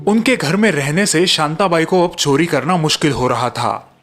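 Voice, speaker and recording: A man's voice: fairly high pitch, normal speed, a bit bright, soft background music. A man reading scripted narration for a cartoon story.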